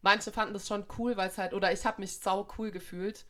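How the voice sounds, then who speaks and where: A woman's voice: medium pitch, steady tone, fairly quick, fairly bright tone. A young woman from Munich, co-hosting a casual podcast chat, full of fillers, restarts and youthful slang. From Munich, Germany. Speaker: podcast co-host.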